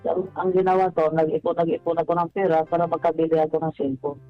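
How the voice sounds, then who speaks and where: A man calling a radio show, telling his personal story in a polite, halting way. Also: fairly high pitch, level tone. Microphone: telephone. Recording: dull phone-in line, music bed.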